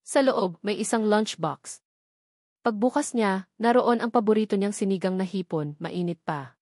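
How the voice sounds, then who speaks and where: A woman's voice: medium pitch, moderate pace, a little mellow, dry recording, clean studio sound. A woman with a Filipino accent reading a scripted romantic drama as an audiobook narrator.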